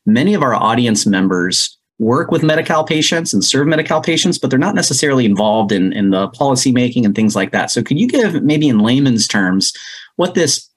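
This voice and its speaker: A man's voice: medium pitch, expressive, a little fast, husky. A man hosting a podcast, moving between scripted introduction and conversational interviewing. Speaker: podcast host.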